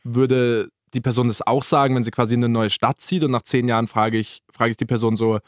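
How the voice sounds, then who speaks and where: A man's voice: mid-range voice, level tone, a little fast, no room sound, studio quality. A man in his early twenties talking casually as a podcast co-host, in colloquial speech sprinkled with English loanwords. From Germany. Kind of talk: casual conversational podcast chat.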